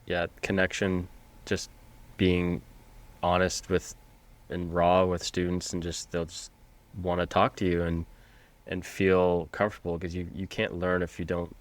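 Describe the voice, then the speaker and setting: A man's voice: low-pitched, little pitch movement. A man from Canada, a teacher and podcast guest, talking casually with frequent restarts over a video call. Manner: halting, with many restarts. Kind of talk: casual conversation.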